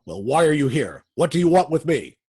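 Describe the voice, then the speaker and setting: An actor playing a gruff, bossy, crooked prison warden in a radio drama, curt, blustering and impatient. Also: male voice, a little high, big pitch swings, a little fast, mellow, heavily acted. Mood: alarmed, blustering. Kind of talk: old-time radio drama acting.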